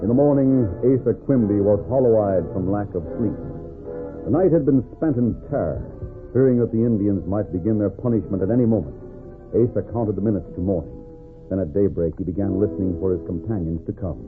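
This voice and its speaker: A man's voice: lowish voice, brisk, music in the background. A man giving grave, suspenseful scripted narration in the formal style of a 1940s radio drama. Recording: narrow, tinny 1940s radio.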